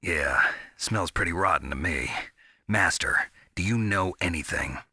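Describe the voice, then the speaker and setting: A low, husky male voice with a hard, forceful edge. He is a gruff veteran soldier in a video game, terse and serious, recorded dry and close. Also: animated, measured pace, dry recording, full character voice. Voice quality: gravelly, husky. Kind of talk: video game radio dialogue.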